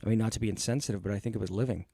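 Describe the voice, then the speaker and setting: Gravelly low-voiced podcast guest. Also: male voice, rapid-fire, dark tone, dry recording. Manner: crude, self-mocking humor. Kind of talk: casual chat on a live stream.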